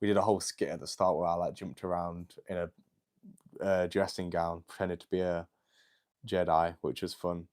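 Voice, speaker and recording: A man's voice: deep voice, level tone, normal speed, darkish tone. An eighteen-year-old man hosting a casual livestream, chatting and answering viewer comments with lots of "like" and "um". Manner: chatty and rambling, with many "like" and "um" fillers.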